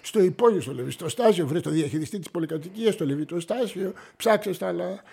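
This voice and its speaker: Gravelly, bossy police inspector. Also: male voice, high-pitched, lively intonation, heavily acted. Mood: impatient, barking. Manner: barks orders, then mutters his thoughts in a hushed voice. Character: gruff male police inspector, impatient and bossy. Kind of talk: radio play acting.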